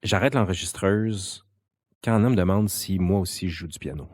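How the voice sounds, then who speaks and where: A man's voice: sits low, normal speed, darkish tone. A man with a Quebec French accent, reading an intimate, scripted autobiographical narration. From Quebec, Canada. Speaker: narrator and interviewer. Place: sound studio. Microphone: quality studio microphone. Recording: music behind the voice. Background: music.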